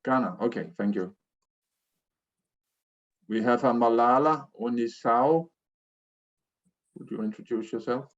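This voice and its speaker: A man's voice: medium pitch, a little slow, long pauses, muffled recording. A man moderates a video call, politely calling on participants in short prompts. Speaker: meeting moderator.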